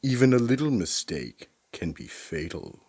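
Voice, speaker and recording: A man playing an ominous horror show announcer, delivering a scripted sign-off in a deep, husky voice. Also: sing-song, moderate pace, darkish tone.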